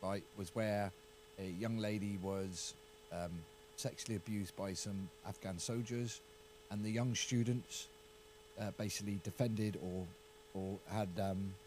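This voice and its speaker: A middle-aged English man with an English accent, a county councillor talking through a political discussion in a halting way, repeating words and hedging. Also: lowish voice, fairly even intonation, darkish tone, a little hiss or hum, low-quality recording.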